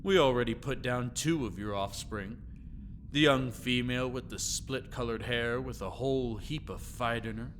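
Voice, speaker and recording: A man playing an older, vengeful, gloating one-eyed vampire hunter in a dramatic audio roleplay, slow and theatrically taunting. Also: mid-range voice, background noise, close to an everyday voice.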